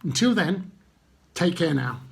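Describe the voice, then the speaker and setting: A man telling stories to children and addressing them gently, with simple, unhurried phrasing and guiding questions. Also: fairly high pitch, lively intonation, creaky, breathy edge. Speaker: children's Bible storyteller.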